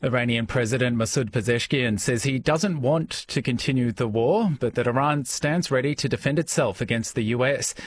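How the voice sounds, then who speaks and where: Male news presenter, steady formal bulletin read, light background hiss. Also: mid-range voice. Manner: measured, formal. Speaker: newsreader.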